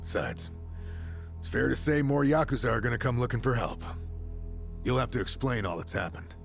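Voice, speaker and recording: A man voicing a gruff, frank, reassuring comrade with a gravelly voice in a video game cutscene. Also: medium pitch, expressive, normal speed, faint music behind. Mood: steady reassurance.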